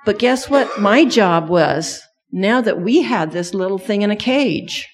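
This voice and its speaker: A woman's voice: mid-range voice, expressive, measured pace. A middle-aged woman who grew up in Thailand and speaks Thai natively, telling a children's sermon story and asking the kids many questions.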